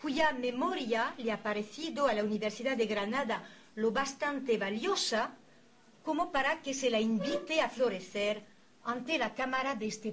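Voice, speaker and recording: A woman interviewer delivering a formal, literary introduction in solemn, florid, deliberate phrases. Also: on the high side. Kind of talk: formal, literary scripted introduction with brief asides. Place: university event recorded for a filmed programme. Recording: clear background noise, room ambience.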